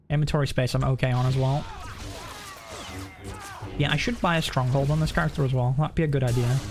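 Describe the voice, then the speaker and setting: A low-key, soft-spoken man giving casual livestream gameplay commentary, speaking right up close to the mic. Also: a little hiss or hum. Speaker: game streamer. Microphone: close desk boom mic, mouth right up against it.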